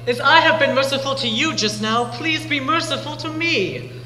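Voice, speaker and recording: A man's voice: very high voice, normal speed, pushed and bright, slight background noise, heavily acted. A man playing an earnest, dutiful young pirate apprentice on stage, speaking theatrically and singing. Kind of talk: live operetta stage acting and singing. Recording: echoey hall, live stage with orchestra.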